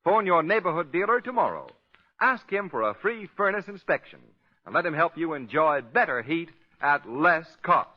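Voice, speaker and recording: Male 1940s radio announcer, lively and swooping, polished sales delivery, muffled vintage broadcast sound. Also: fairly high pitch, normal speed.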